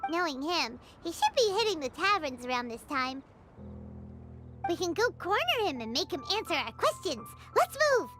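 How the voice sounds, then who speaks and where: A woman voicing a small, cheeky, excitable fairy companion in an anime game, squeaky and bossy. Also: moderate pace, music in the background. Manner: refers to herself in third person, bossy and teasing.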